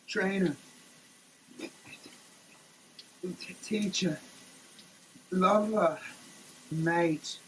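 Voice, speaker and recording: A performer giving a comedic dramatic reading of label text, theatrical, moving between declaiming and muttered asides, choking as water soaks them. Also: medium pitch. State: choking, coughing, gasping while being soaked with water. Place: shower, indoors. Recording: noisy room with running shower water.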